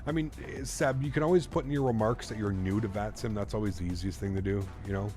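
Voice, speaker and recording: A man in his thirties streaming a flight sim, chatting casually with viewers and telling a story, relaxed and chatty with plenty of fillers. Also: lowish voice, quick pace, soft background music. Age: 30s. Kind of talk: casual live-stream chat reading viewer comments.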